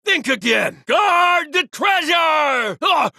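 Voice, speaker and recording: Creaky, high-pitched bandit grunt. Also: male voice, expressive, slow, sharp tone, no room sound, raised voice, studio quality, heavily acted. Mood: alarmed, blustering. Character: jumpy hungry male bandit guarding treasure, dim and blustering. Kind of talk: video game character barks.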